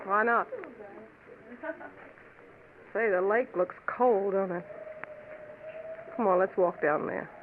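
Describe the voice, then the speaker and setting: A woman's voice: medium pitch, takes it slowly, pauses often, a little hiss or hum, natural voice. An actress playing a brash, slangy, hard-luck gambling woman in a vintage radio drama. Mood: resigned. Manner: slangy, tough-talking. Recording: tinny vintage radio, crowd behind.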